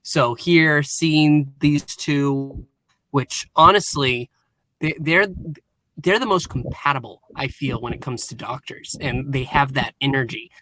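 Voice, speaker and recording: A man's voice: mid-range voice, a little slow, sharp tone, rough recording. A man chatting casually and animatedly as a panellist on a review show.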